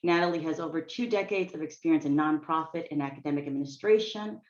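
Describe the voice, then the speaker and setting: A woman's voice: deep voice, quick pace. A woman from Boston moderating a webinar, introducing panelists and asking questions in an informal, encouraging way. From Boston, United States. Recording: muffled, echoey webinar audio.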